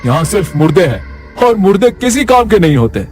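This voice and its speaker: Guy doing a menacing cartoon villain, threatening and mocking, pitched up, music behind. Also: big pitch swings, fairly quick, a little mellow. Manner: taunting, threatening. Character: menacing scheming male hospital organ trafficker, mocking and cold.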